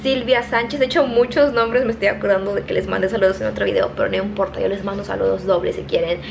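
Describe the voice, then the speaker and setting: A young woman with a Mexican accent, chatting casually as she reads out shout-outs to her viewers, filmed on a handheld phone in her kitchen. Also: medium pitch, steady tone, vocal fry, background noise. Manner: chatty, reading names quickly, laughing at herself. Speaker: vlogger. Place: home kitchen, indoors. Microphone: phone held at arm's length.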